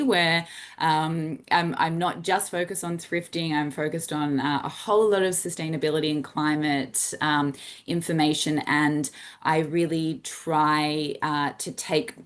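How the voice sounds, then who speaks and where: An Australian woman in her thirties, a science communicator giving an earnest presentation talk with frequent ums and restarts. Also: low voice. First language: English.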